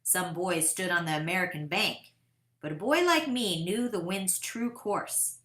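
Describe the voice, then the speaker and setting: A woman voicing an eager, determined boy who tells his own kite-flying tale in a dramatic, deliberate picture-book read-aloud. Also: fairly low pitch, fairly bright tone. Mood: excited, proud. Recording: roomy, echoing sound.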